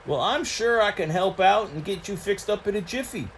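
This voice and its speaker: A man's voice: high-pitched, lively intonation, moderate pace, fairly bright tone, a little hiss or hum, voice pushed up. A man voicing a folksy old male tow truck with a twangy country drawl.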